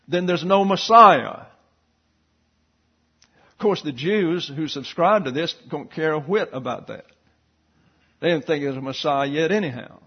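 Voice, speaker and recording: A man teaching a Bible study lecture, reading passages and footnotes aloud with asides and slowly spelling out Greek words. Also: fairly high pitch, breathy edge, lo-fi sound.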